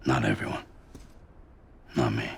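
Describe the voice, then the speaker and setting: A man playing a weary, withdrawn delivery porter in a cinematic video game, terse and downbeat, with a gravelly voice. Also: a bass voice, steady tone, a little slow, noisy background, heavily acted. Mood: bleak. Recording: music under the voice.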